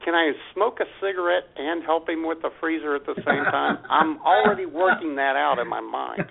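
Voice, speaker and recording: A man's voice: a little high, normal speed, gravelly, a little hiss or hum. A man co-hosting a podcast, making wry, self-mocking jokes in casual banter. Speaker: podcast co-host. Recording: tinny phone-like sound.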